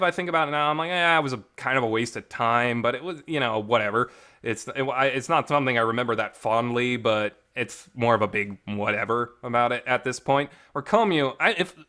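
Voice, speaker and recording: A man with a bright, forceful voice at an average pitch talks off the cuff like an opinionated vlog reviewer. He is blunt and rambling, doubles back on words for emphasis and swears freely. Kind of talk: casual opinionated talk, unscripted review. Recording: reverberant home recording.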